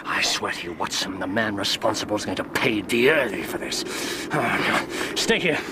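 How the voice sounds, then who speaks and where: Actor as theatrical English detective, swooping delivery. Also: male voice, lowish voice, animated, moderate pace, heavy background noise, close to an everyday voice. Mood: urgent. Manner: theatrical, precise, mercurial. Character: brilliant, theatrical, self-assured male detective, incisive and teasing. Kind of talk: radio drama acting.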